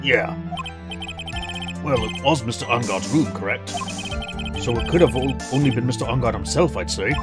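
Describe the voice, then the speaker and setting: A man's voice: medium pitch, lively intonation, moderate pace, long pauses, over loud music, close to an everyday voice. A Scottish man voicing an evasive male witness who hesitates and hedges with ums.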